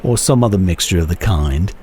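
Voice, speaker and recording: A man giving a measured, theatrical dramatic reading of a classic short story as its narrator. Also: low voice, expressive, darkish tone. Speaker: narrator, reader.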